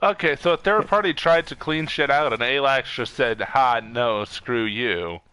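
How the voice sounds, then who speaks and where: Crisp, bright young man, gaming. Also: medium pitch, unhurried, poor audio. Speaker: gaming streamer. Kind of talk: casual gameplay chat.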